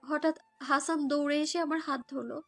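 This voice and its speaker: A woman's voice: high voice, steady tone, moderate pace. A young woman narrates a romantic story in the first person, in an emotive, girlish way, as if living it. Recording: background music under voice.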